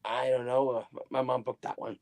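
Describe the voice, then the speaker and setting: A man's voice: mid-range voice, flat delivery, brisk, darkish tone. Stammering man chatting about trips. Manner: stammering, repeats words. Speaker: livestream co-host. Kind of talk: casual chat.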